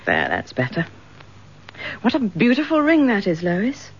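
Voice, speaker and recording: An actress playing a motherly, gently persistent English wife who coaxes, in a radio detective drama. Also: mid-range voice, wildly swooping pitch, a little slow, husky, full character voice. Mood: soothing, coaxing. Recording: dull lo-fi radio drama recording.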